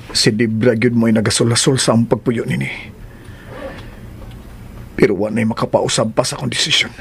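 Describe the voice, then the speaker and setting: An actor with a Cebuano accent playing a secretive, placating unfaithful husband who speaks earnestly in a radio drama. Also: male voice, mid-range voice, gravelly, a little hiss or hum. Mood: brooding, resolved.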